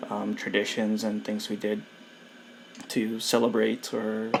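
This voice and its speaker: A man hosting a casual podcast chat, introducing the topic hesitantly with many pauses and "um"s. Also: on the low side, monotone, gravelly, noisy background. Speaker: podcast host.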